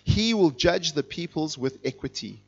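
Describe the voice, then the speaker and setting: Middle-aged guy preaching, gets pretty fired up, bit high voice, muffled lo-fi sound. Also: normal speed, vocal fry, husky. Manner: energetic, fired up, reads scripture with rising intensity. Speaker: guest preacher. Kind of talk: sermon. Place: church sanctuary. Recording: dull, muffled low-fidelity sound.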